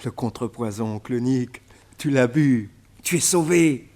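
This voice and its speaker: An actor voicing an excitable young male nephew who talks urgently in a radio drama. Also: mid-range voice, a little slow, close to an everyday voice. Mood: relieved.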